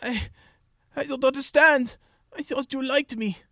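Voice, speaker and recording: An actor playing a timid male henchman in a comic dub, hesitant and pleading, with rough grammar. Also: very high-pitched, steady tone, measured pace, sharp tone, dry recording, clean studio sound, full character voice. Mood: fearful, pleading. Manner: hesitant, cowering, uneducated grammar.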